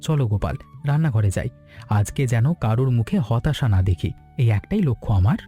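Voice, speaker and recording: A man's voice: mid-range voice, animated, moderate pace, dark tone, soft background music, close to an everyday voice. A man playing an earnest, polite village hotel owner in a cartoon moral story. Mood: pleased.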